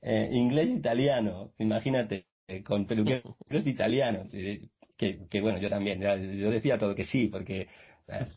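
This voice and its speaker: A man's voice: lowish voice, steady tone, normal speed, slightly rough. A man, a radio guest telling his story in a rambling, casual way with many restarts. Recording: muffled video-call audio.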